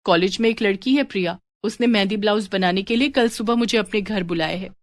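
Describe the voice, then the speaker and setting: An earnest young college-age daughter, played by a woman narrator, dutiful and eager to reassure. Her voice sits a little above an ordinary speaking pitch in a very dry, close storybook recording. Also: a little fast, no room sound, natural voice. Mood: reassuring.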